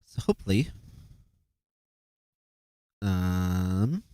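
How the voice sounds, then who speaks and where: A man's voice: low-pitched, very animated, extremely slow, dark tone, clean tone, dry recording, clean studio sound. A man hosting a live stream, judging beatbox entries and thinking aloud with hesitant fillers and restarts. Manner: calculating aloud while reviewing clips. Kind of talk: casual live-stream commentary.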